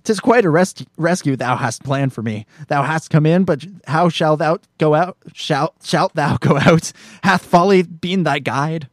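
A man reading the part of a haughty, sharp-tongued young princess in old-fashioned verse, in a raised voice. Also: a little high, a little slow, hardly any pauses, no echo, clean studio sound, voice pushed up, lightly acted. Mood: scolding.

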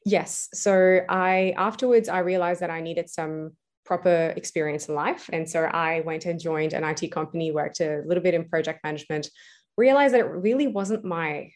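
Young adult woman, lowish voice, interview guest, reflective and full of fillers. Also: moderate pace. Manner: reflective, many fillers and restarts. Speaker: guest, entrepreneur. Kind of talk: casual interview answers.

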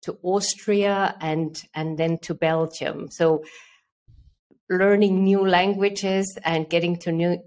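A middle-aged woman from Estonia with an Estonian accent, answering interview questions as a guest with careful, halting phrasing. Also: fairly low pitch, a little mellow. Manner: careful, with repeated words and fillers. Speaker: guest, headhunter.

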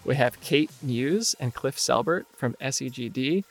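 A man hosting a design podcast, upbeat and friendly as he moves from scripted announcements into loose chat. His voice has a gravelly, fry-tinged texture, and a faint music bed sits under the opening. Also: mid-range voice, lively intonation, measured pace. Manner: upbeat, reads announcements then chats loosely. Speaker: podcast host. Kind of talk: scripted podcast intro then casual interview.

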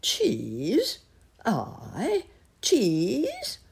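A woman's voice: low-pitched, sing-song, takes it slowly, creaky, a little mellow, husky, voice pushed up, heavily acted. A woman voicing a modest, shy elderly male rabbit in a storybook, courteous and a little bewildered. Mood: astonished, indignant. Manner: courteous, old-fashioned, hesitant phrasing.

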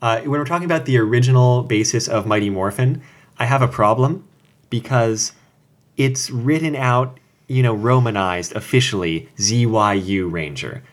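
A man's voice: medium pitch, normal speed. A man co-hosting a casual podcast chat, explaining fan lore at length with jokes and asides. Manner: explanatory, joking, rambling with asides. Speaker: podcast co-host.

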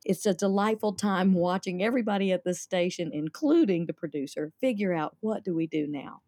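A woman's voice: lowish voice, a little fast, mellow, no room sound. A woman theater director answering interview questions about her holiday show, enthusiastic and promotional.

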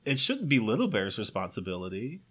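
A man's voice: fairly low pitch, huge pitch swings, a little fast, a crowd in the background. A man casually discussing a film, with exasperated emphasis and some swearing, often amused as he talks. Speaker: podcast co-host.

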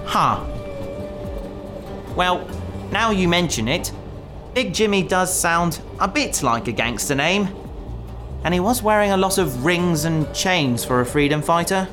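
Sci-fi audio drama: actress as reluctant pacifist heroine, hesitant and mild, low voice with swooping intonation. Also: female voice, lively intonation, measured pace, long pauses, over music. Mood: puzzled, defensive. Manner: hesitant, mild-mannered, protesting. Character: woman, reluctant pacifist space hero, sheepish and bumbling.

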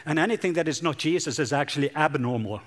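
A man's voice: fairly high pitch, lively intonation, fast. Man preaching from a lit stage. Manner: warm, joking with the congregation, loose rambling delivery. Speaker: guest preacher. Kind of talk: sermon. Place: large church hall with stage lights.

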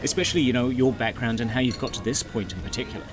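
A man's voice: mid-range voice, racing. A man hosting a casual interview, chatty with rambling lead-ins, recorded at an outdoor cafe. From England. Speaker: podcast host. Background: cafe and traffic noise.

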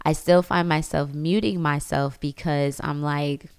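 A woman's voice: low voice, fairly even intonation, unhurried, clean tone, no room sound. A young woman thinking aloud in a personal reflective monologue, full of fillers and restarts. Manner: thoughtful, frequent fillers and restarts. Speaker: reflective speaker.

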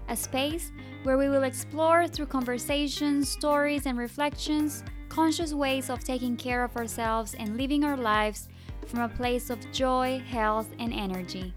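Gentle higher-voiced woman podcast host. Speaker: podcast host and health coach. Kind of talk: podcast intro and interview.

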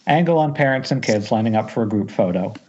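A man's voice: fairly low pitch, expressive, fast, a little mellow. A man reading scripted stage directions as narrator at an amateur table read. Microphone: Skype call. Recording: dull lo-fi internet call.

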